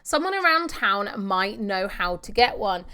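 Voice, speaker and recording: A woman's voice: mid-range voice, very animated, measured pace, sharp tone, clear, resonant tone. A woman doing casual, chatty video game walkthrough commentary, talking to herself as she plays and reading out on-screen text. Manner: chatty, talks to herself while playing.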